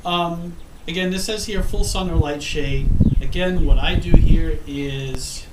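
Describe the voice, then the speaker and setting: A man's voice: a little high, a little slow. A man in his thirties with a head cold, talking casually to the camera about his plants on a windy patio, rambling and restarting his sentences as he goes. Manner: rambling, self-correcting, frequent ums. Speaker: gardening vlogger. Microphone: camera mic from a few feet away. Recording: roomy, distant camera audio with wind noise.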